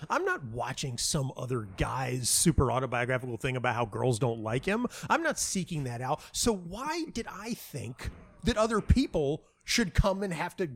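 A middle-aged man talking casually and reflectively as an interview guest, halting and restarting often, with a self-deprecating manner. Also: medium pitch, big pitch swings, fairly bright tone. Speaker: playwright and audio dramatist being interviewed.

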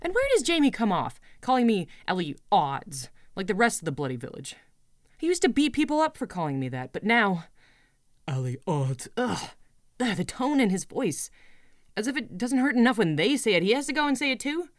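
A woman voicing a spirited young farm girl who longs to be a hero, speaking with passion that swings from hushed to shouting. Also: sits low, expressive, measured pace, gravelly, long pauses, dry recording, studio quality, heavily acted. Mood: indignant. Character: spirited young woman farmhand dreaming of heroism.

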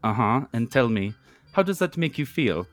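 A man's voice: on the low side, animated, quick pace, close to an everyday voice. A man voicing a confident, earnest, wry teenage girl from a sitcom story, addressing an imagined camera or questioning with mock-clinical care.

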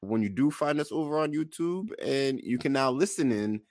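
A man hosting a casual podcast, addressing listeners in measured, promotional show-host phrases. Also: medium pitch, expressive, darkish tone, dry recording, studio quality.

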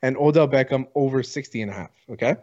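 A man's voice: mid-range voice, fast, dark tone, lo-fi sound. A man co-hosting a casual sports podcast, blunt and casually profane.

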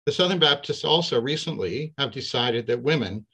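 Earnest older man with a bright voice. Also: mid-range voice, animated, gravelly, slightly rough. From Canada. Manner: measured, earnest, with frequent "uh" pauses. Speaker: retired Baptist minister telling his faith story. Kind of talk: storytelling talk to a church gathering.

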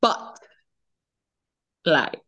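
A young Jamaican woman chatting in a remote interview, talkative and quick to laugh, often repeating her words. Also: bright, forceful tone. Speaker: singer, interview guest. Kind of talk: casual interview chat.

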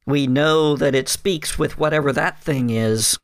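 A man voicing the leader of a group of investigators, talking in a slow, measured, halting way. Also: fairly high pitch, no room sound, studio quality, pitched above the performer's own voice. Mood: earnest.

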